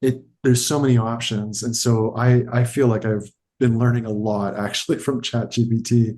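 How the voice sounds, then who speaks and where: A man's voice: medium pitch, flat delivery, normal speed, dark tone. A man co-hosting a podcast interview whose first language is French, talking in a rambling, hedging way. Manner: rambling, hedging with fillers and restarts. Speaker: podcast co-host. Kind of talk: casual conversational interview.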